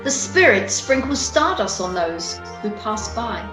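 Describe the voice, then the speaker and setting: A woman's voice: mid-range voice, sing-song, a little slow. A woman reading scripted narration for a radio play. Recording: echoey room on home call. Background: music.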